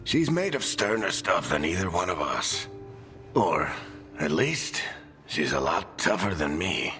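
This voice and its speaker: A wry, wounded, aging dwarf from a fantasy video game. His low voice takes its time and swings widely in expression, tough yet fatherly. It rings with a long reverb. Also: big pitch swings, unhurried, sharp tone, faint music behind, heavily acted. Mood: reassuring, rueful. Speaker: video game character actor. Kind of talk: dramatic video game voice acting.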